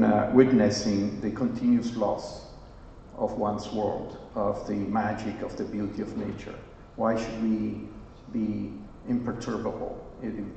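An older man from Italy, a marine scientist, speaks with passion and rhetorical force, breaking his sentences with frequent fillers. His voice is low and gravelly. The recording sounds distant and muffled, and he echoes through a large hall with a long reverb. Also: dark tone. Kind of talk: onstage dialogue, impassioned. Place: large hotel conference hall, on stage.

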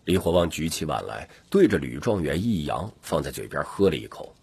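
A man's voice: very deep voice, very animated, brisk, vocal fry, darkish tone, slightly rough, no room sound. A man reading dramatic scripted fantasy narration as an audiobook narrator.

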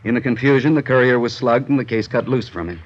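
An actor playing a serious, brisk insurance office manager in a 1950s radio drama, speaking matter-of-factly. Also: male voice, mid-range voice, lightly acted. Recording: muffled vintage 1950s radio recording.

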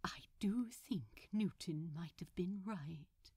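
A woman's voice: on the low side, lively intonation, slow pace, mellow, breathy edge, no room sound, clean studio sound, pitched below the performer's own voice, heavily acted. A woman voicing a genteel, fond, bashful male supervillain with prim, polite diction in an audiobook reading. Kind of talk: fan fiction audiobook character voice.

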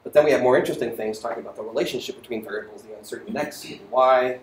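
A man's voice: mid-range voice, very fast, slight background noise. A middle-aged man giving a physics lecture as a voiceover to slides, thoughtful and full of ums and restarts.

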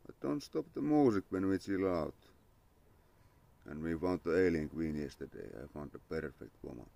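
A man's voice: deep voice, lively intonation, moderate pace, vocal fry, mellow, no echo. A young man from Finland with a Finnish accent, chatting casually on a live stream outdoors in his yard, thanking followers and muttering to himself. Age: young adult. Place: outdoor yard under a parasol. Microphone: phone camera at arm's length.

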